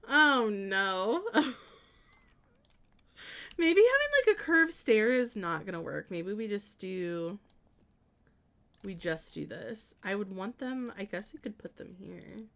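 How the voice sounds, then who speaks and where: A woman streaming live, musing out loud as she works something out, often trailing off and starting her sentences over. Between those hesitant stretches she turns friendly and chatty with her viewers. Her pitch sits in an ordinary middle range for a woman. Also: animated, slow pace, creaky, pauses often. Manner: thinking aloud, hesitant and meandering, with frequent trailing restarts; chatty and friendly when greeting and answering viewers. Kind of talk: casual live-stream chat while building in a life-sim game.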